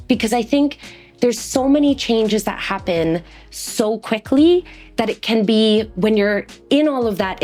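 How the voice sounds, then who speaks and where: A woman speaking as an interview guest, with a voice in a middle pitch range. She talks reflectively and offers practical advice in a steady, conversational way. A soft bed of music plays quietly underneath. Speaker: guest teacher.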